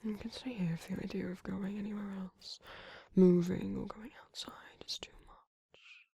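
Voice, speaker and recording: A woman playing a tender, flirty vampire in an intimate romantic ASMR roleplay, whispering slowly with doting, teasing words and soft hums. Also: medium pitch, steady tone, no echo. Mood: soft, gently teasing. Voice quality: breathy, husky whisper.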